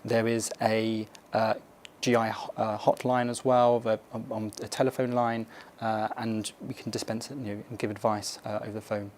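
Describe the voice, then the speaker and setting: Even-toned man, faint background noise. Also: lowish voice, moderate pace. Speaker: hospital consultant doctor. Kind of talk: explanatory interview answers for an educational film.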